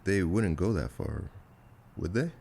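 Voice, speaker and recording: A man's voice: low voice, lively intonation, measured pace, gravelly, a little mellow, exaggerated voice. A man acting a guarded, restless and loyal operative with a wry manner in an audio drama. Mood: skeptical.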